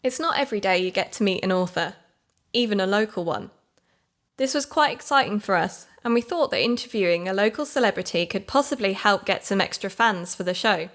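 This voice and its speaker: Woman playing British female investigator, audio drama narration, earnest, explanatory, middle pitch. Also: lively intonation, brisk, fairly bright tone, natural voice. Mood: pleased, earnest.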